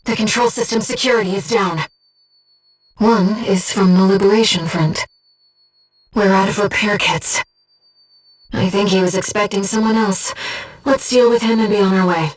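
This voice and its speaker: A woman voicing a gentle, disembodied female being who guides a pilot in a video game, speaking in a composed, earnest and precise way. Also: mid-range voice, steady tone, normal speed, pauses often, distant mic, faint music behind, lightly acted, poor audio. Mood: calm, focused. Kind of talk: video game mission support voice acting.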